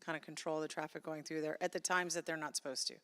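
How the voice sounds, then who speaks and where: Resident woman with a direct question, very low and level voice, echo from the hall. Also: level tone, fast, a bit bright. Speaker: local resident. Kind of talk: asking questions at a public meeting.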